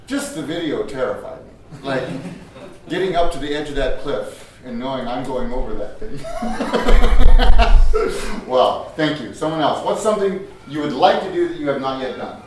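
An older man leading a teacher workshop, chatty and quick to laugh, his voice a little strained from a cough. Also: mid-range voice, sing-song, background noise. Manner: chatty, laughs often, restarts. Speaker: teacher trainer. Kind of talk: workshop talk with audience. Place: large echoey room with audience. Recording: very echoey room, voice from far off.

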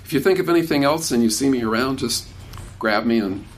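A man's voice: mid-range voice, lively intonation, fairly quick, slight background noise. Man in his sixties fielding questions. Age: 60s. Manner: warm, conversational, many restarts. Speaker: workshop speaker. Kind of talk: casual talk with questions from the audience.

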